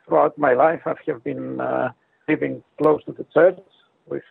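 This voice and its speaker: A middle-aged man from Greece, speaking English with a Greek accent as his second language, answering interview questions in a halting way with many pauses. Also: fairly high pitch, steady tone, vocal fry, breathy edge, no room sound. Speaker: guest. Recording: tinny phone line.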